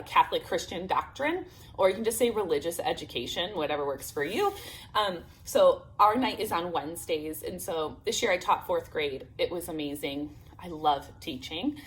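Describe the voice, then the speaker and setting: A woman's voice: on the low side, poor audio. A woman in her thirties chatting to camera as a homeschool vlogger, upbeat and full of fillers, speaking through a sore throat. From Illinois, United States. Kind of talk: casual chat to camera.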